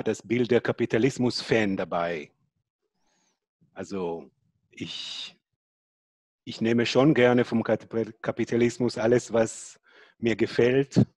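A man's voice: on the low side, darkish tone, no echo. A man in a casual panel discussion, hesitant as he forms his points.

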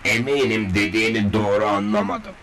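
A man's voice: lowish voice, moderate pace, natural voice. An actor playing a domineering, scheming male cartoon villain with a menacing, imperious manner.

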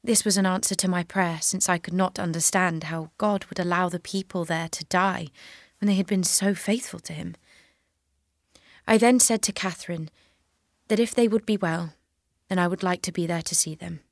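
A woman's voice: fairly low pitch, normal speed, no room sound, studio quality, natural voice. A woman playing a devout, resolute young peasant prisoner in an audio drama, earnest, plain-spoken and guarded. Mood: solemn testimony.

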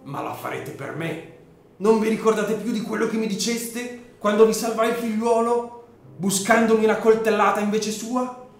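A man's voice: sits high, moderate pace, creaky. A man playing a bold, persuasive young coachman in a radio drama, insistent and familiar in manner. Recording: very echoey room.

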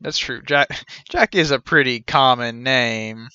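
A man in his thirties streams a game with casual, wry commentary. His voice is bright and forceful at a medium pitch, recorded dry on a slightly dull mic. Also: measured pace, smooth, no echo. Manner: joking, wry. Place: small indoor room, seated.

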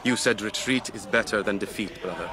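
An actor playing an anxious, defeated medieval Saracen warrior in a historical audio drama. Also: male voice, lowish voice, a little fast, close to an everyday voice. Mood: troubled, awed. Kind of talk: historical radio drama acting.

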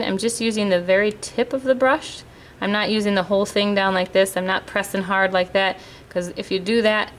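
A woman walks through a watercolor lesson in a calm, patient, step-by-step way, speaking as she paints at a table. Her voice sits at an ordinary middle pitch. A faint hum of room tone stays under her voice. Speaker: art teacher. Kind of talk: painting demonstration. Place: indoors at a table, hands-only overhead camera.

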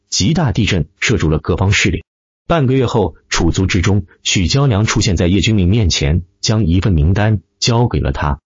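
Deep-voiced man narrating with lively swings. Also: slightly rough, dry recording, lo-fi sound. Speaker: audiobook narrator. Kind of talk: dramatic scripted web-novel narration.

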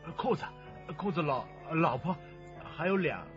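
A man's voice: a little high, expressive, moderate pace, muffled recording, soft background music, natural voice. An actor playing a nervous, bumbling male informant with a heavy stutter in a radio crime drama. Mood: anxious, flustered.

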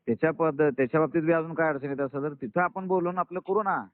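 A man speaks rapidly over a thin phone connection, dry and close. His is emphatic and persuasive as he reassures and justifies himself. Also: fairly high pitch, no echo. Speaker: political activist who joined the ruling party. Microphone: phone line. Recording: narrowband phone call recording.

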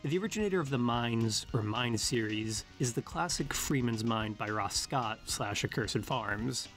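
Male narrator for analytical video essay, formal and measured, mid-pitched, faint music underneath. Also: a bit bright.

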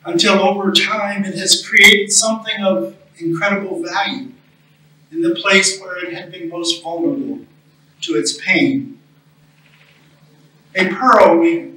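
Distant echoey room, middle-aged man, high bright voice, solemn memorial homily, measured phrasing with pauses. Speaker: chaplain leading a memorial service. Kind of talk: memorial homily, reading a reflective story. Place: small chapel, indoors at a lectern.

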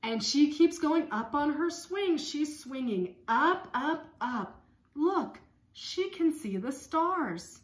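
A woman in her thirties leading songs and story time for young children, speaking in simple, repetitive, expressive phrases. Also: high voice, takes it slowly, echoey room. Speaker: speech-language pathologist leading circle time. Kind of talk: children's songs and read-aloud lesson. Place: small room at home.

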